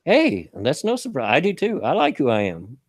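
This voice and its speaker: A man's voice: fairly high pitch, wildly swooping pitch, normal speed, slightly rough, dry recording, studio quality. An older man with a scratchy voice and a Hoosier-Kentucky accent, hosting a casual stream chat and laughing often. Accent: Southern Indiana and Kentucky. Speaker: show host.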